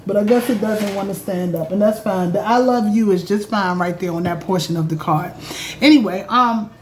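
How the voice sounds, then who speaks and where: A woman talking casually to her viewers about astrology from her home, chatty and animated, calling them y'all. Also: mid-range voice, moderate pace, slight background noise. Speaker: astrologer and vlog host. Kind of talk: casual spiritual vlog talk.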